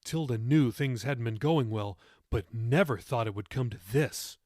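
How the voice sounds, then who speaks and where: A man's voice: medium pitch, normal speed, darkish tone, no echo, studio quality. A man reading his own novel aloud as audiobook narration, with dramatic storytelling delivery.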